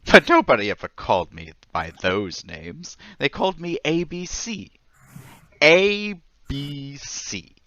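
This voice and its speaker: A troubled man played by an actor in an old time radio play, confessing in desperation. His voice is bright and tense and swings widely in pitch as he stammers and pleads. The sound is close and dry. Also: big pitch swings, dry recording, highs cut off, heavily acted. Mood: brooding, unsettled. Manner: halting, agitated, stammering, pleading.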